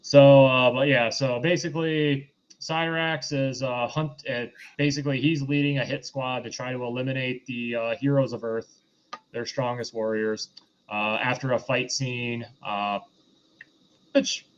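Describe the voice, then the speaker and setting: A man with an average-pitched voice hosts a casual review chat. He recaps in a halting way, backtracking as he goes. The sound is slightly dull and lo-fi. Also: steady tone, a little hiss or hum. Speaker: host of a film review show.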